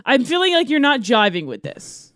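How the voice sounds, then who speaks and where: A woman's voice: high-pitched, sing-song, normal speed, fairly bright tone, no echo, studio quality. A woman co-hosting a comedy podcast, talking through ideas in a casual, conversational way. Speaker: podcast co-host.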